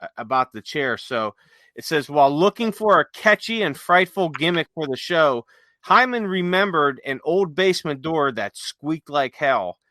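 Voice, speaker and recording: A man's voice: a little high, expressive, moderate pace, sharp tone, no echo. A man co-hosting a podcast in casual, enthusiastic, rambling explanations, sometimes reading from notes. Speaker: podcast co-host. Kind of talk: casual chat with some read-aloud notes.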